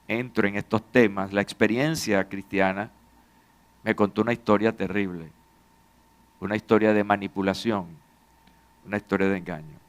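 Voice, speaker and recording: A male pastor giving a measured, formal introduction to a testimony before his church. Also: on the low side, clear, resonant tone.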